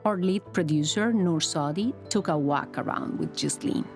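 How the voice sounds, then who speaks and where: A woman narrating a documentary report in a calm, descriptive, scripted manner. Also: fairly low pitch, normal speed. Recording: studio voice-over with music bed.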